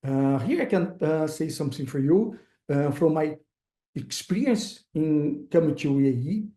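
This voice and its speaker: A man with a Brazilian accent, Portuguese as his first language, giving a webinar talk as an investor, halting and pausing often with fillers. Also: on the high side, expressive, warm tone, roomy sound. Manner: halting, searching for words, frequent uh fillers. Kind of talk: webinar presentation.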